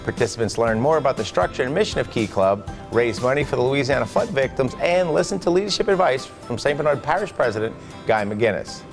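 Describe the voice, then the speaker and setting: A man's voice: medium pitch, expressive, brisk, creaky, faint music behind. A man hosting a local school news program, reading scripted narration. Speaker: program host.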